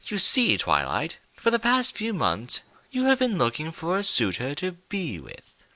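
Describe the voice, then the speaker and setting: A man's voice: fairly high pitch, wildly swooping pitch, unhurried, pushed and bright, no echo, voice pushed up, full character voice. Man playing a glib, theatrical chaos creature. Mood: coaxing, impatient. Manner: theatrical, coaxing, exasperated. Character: impatient, glib male goat-headed chaos creature. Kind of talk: fan fiction storybook reading.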